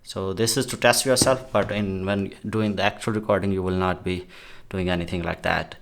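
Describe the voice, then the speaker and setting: A man giving a casual, somewhat halting product demo as a gadget reviewer. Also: low voice, fairly even intonation, vocal fry.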